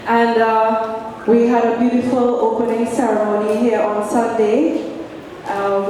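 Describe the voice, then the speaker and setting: A woman, welcoming an audience at a festival in a measured way with fillers and asides. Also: mid-range voice, warm tone. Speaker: event organizer giving welcome speech. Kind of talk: public welcome address. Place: large echoey hall. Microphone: distant microphone. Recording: echoey hall, far mic, noisy.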